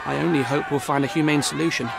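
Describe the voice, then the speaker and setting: An actor playing a harried, defensive city hall official in a video game, speaking formally and precisely. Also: male voice, fairly high pitch, steady tone, fast, gravelly, very noisy. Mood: resigned, explanatory. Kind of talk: video game cutscene acting.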